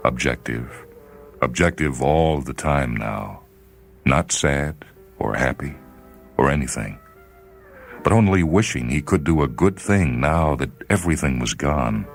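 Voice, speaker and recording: Very deep-voiced solemn male story reader. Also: expressive, measured pace, soft background music. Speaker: story reader and programme host. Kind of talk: dramatic scripted narration of science fiction.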